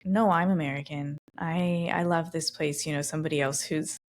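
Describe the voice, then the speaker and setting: A woman's voice: deep voice, steady tone, normal speed, slight background noise. A woman hosting a podcast interview, reflecting aloud and thinking through ideas with frequent restarts. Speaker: podcast host. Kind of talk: conversational interview.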